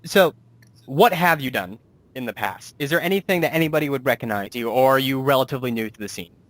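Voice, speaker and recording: A man's voice: mid-range voice, animated, quick pace, fairly bright tone, no room sound. A man hosting a casual, chatty interview in an enthusiastic, reactive manner. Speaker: interviewer, host.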